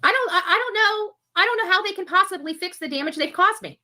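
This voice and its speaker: A woman's voice: very high voice, lively intonation, quick pace, pushed and bright. A woman commentator giving an impassioned monologue to her viewers, emphatic and indignant, repeating herself for force. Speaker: YouTube commentator.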